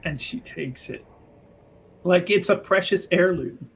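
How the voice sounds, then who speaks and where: A man's voice: sits high, a little slow, breathy edge. A man playing a tabletop game, describing his character's actions and asking questions in an improvised, casual way. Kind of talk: improvised roleplay chat.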